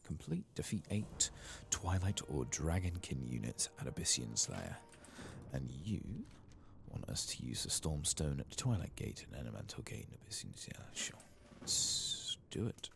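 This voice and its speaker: Very deep, creaky soft-spoken man. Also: big pitch swings, normal speed, background noise. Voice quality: soft-spoken, partly whispered. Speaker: host of a gaming ASMR channel. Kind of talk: soft-spoken ASMR game playthrough commentary.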